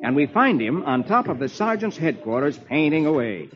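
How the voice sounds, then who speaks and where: Theatrical, animated old-time radio storyteller. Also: male voice, a little high, moderate pace. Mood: genial, theatrical. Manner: theatrical, dramatic. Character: genial comic-strip reader for children. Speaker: host reading the comics. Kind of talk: 1940s-50s radio storytelling. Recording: dull old radio recording with music.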